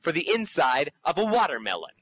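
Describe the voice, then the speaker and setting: A man voicing a hot-tempered, mocking sidekick who blusters, taunts and shouts in a home-taped comedy sketch. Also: fairly high pitch, sing-song, fairly quick, dry recording. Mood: blustering.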